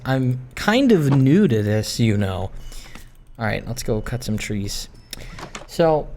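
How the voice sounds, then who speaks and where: A man with a very lively, swooping mid-pitched voice rambles informally as he hosts a gaming video. He is halting and self-conscious, circling back on his words and swearing casually. There is noticeable noise in the background. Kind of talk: casual rambling chat while playing.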